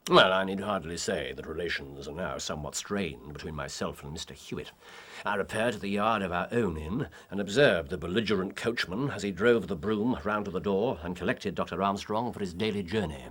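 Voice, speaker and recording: An actor playing a shrewd, wry gentleman detective with a British accent, speaking precisely and theatrically in an audio drama. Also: low-pitched, a little fast, hardly any pauses, husky. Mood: dry, reflective.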